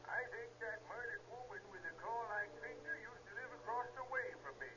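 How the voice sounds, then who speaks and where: A man playing an excited neighbor phoning a tip to police through a telephone filter, in 1930s radio acting style. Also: extremely high pitch, brisk. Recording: hissy, dull 1930s radio recording.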